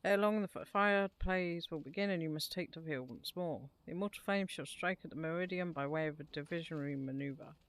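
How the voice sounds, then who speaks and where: A woman's voice: low voice, fairly quick, few pauses, close to an everyday voice. Woman playing a hearty, bombastic fantasy army commander. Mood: rousing, commanding. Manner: declamatory, rousing. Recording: music behind the voice.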